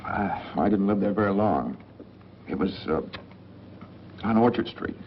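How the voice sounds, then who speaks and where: Guarded, low-voiced film noir drifter. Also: male voice, animated, unhurried, a little hiss or hum. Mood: evasive. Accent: American. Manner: terse, guarded. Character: guarded, menacing drifter hiding a secret, adult man. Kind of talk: 1940s film noir acting. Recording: muffled old 1940s film soundtrack.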